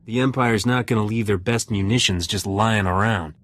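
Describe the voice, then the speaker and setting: An actor playing a cocky, wisecracking smuggler captain in a video game. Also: male voice, lowish voice, moderate pace, fairly bright tone, close to an everyday voice. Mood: dry, wry.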